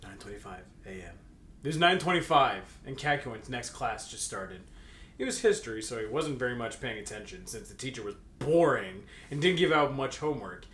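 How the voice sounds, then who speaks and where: A man's voice: medium pitch, animated, brisk, a bit bright. A man doing a comedic, mock-dramatic read-aloud of fan fiction with joking banter. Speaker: co-reader reading fan fiction aloud with commentary. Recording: echoey room with faint background noise.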